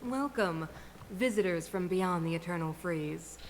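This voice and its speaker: An actress playing a composed, commanding middle-aged female leader, speaking in a measured, regal manner in a game cutscene. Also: fairly low pitch, animated. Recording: long hall reverb, faint noise.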